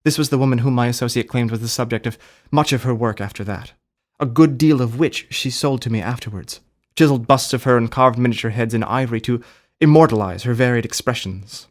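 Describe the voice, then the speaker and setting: Formal, deliberate actor as a male storyteller. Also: mid-range voice, natural voice. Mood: reserved, recounting. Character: formal, sceptical art dealer recounting an uncanny tale. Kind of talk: radio drama narration.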